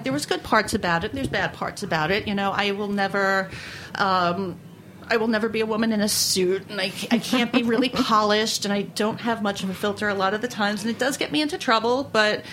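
A woman from Brooklyn gives an interview in a candid, unfiltered way, telling stories casually and with animation. Her voice sits at a middle pitch, and there is faint noise around her. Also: fairly bright tone. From Brooklyn, New York. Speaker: interviewed baker and food writer. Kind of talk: candid conversational storytelling.

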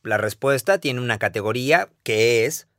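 A man's voice: medium pitch, expressive, moderate pace, a bit bright, no echo, clean studio sound. A man co-hosting a podcast, asking clarifying questions and restating the ideas. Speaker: podcast co-host. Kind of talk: conversational discussion.

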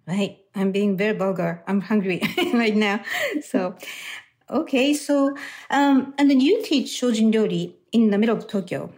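A woman's voice: medium pitch, darkish tone. A woman hosting an interview, chatting casually and asking questions. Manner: chatty, with fillers and restarts. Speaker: interview host. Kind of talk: conversational interview.

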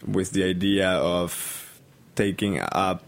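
A man's voice: deep voice, steady tone, slow pace, vocal fry. A young man from Colombia with a Colombian Spanish accent, a bartender guest chatting hesitantly with many ums. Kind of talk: casual interview chat.

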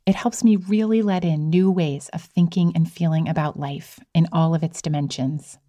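A woman's voice: low-pitched, fairly even intonation, moderate pace, warm tone, no echo. A woman hosting a podcast, talking in a reflective style. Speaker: podcast host.

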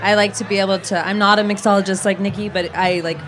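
An upbeat woman from South Carolina chats easily, laughing often as she talks. She sounds like a podcast co-host at ease. Music sits clearly behind her voice. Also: medium pitch, fairly even intonation, fast, a bit bright. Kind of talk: casual chat.

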